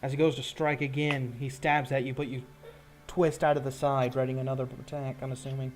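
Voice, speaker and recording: A man's voice: medium pitch, level tone, brisk. A man, improvising combat narration as game master of a tabletop roleplaying game.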